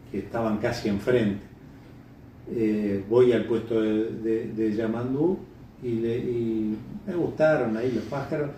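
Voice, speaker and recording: A middle-aged Uruguayan man answering interview questions off the cuff, halting and repeating words as he thinks. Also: medium pitch, mellow. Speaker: interviewee, bird breeder. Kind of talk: unscripted interview answers, reminiscing. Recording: echoey room, distant mic, faint noise.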